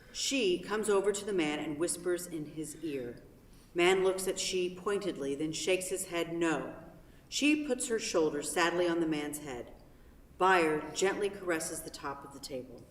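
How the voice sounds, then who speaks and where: A woman with a slightly low voice reads stage directions as scripted narration. She sounds distant from the microphone, in a strongly echoing hall. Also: animated, normal speed, fairly bright tone. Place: theatre stage.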